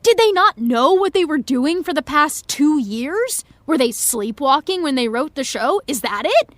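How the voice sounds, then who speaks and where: A woman's voice: high-pitched, sing-song, a little slow, fairly bright tone, dry recording, exaggerated voice. A woman playing a flustered, indignant young actress with an emphatic, exasperated manner, in a naturalistic audio comedy-drama. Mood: indignant ranting.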